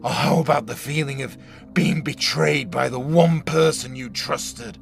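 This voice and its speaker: Man acting a slow, gravelly, menacing older leader. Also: a little high, fairly even intonation, faint music behind. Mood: bitter, brooding. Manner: slow, taunting, sweary delivery. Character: menacing, sadistic older male leader of post-apocalyptic survivors. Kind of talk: audio drama acting.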